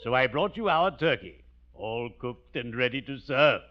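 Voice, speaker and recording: An actor playing a gloomily jovial, genteel undertaker with a formal, drawn-out delivery in 1940s radio comedy style. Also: male voice, medium pitch, expressive, lightly acted. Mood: macabre cheer. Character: genteel, gloomily jovial small-town undertaker, a family friend. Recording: dull, noisy vintage 1940s radio recording.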